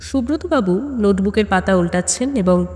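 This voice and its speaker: Female storyteller, suspenseful detective narration, deliberate dramatic pauses, heavy reverb. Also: mid-range voice, expressive, mellow. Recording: long cavernous reverb on the voice.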